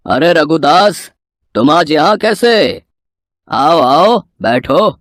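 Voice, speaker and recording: A man playing a greedy, domineering village moneylender in an animated moral story, imperious and threatening. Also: on the high side, takes it slowly, no echo, clean studio sound, full character voice. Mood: condescending.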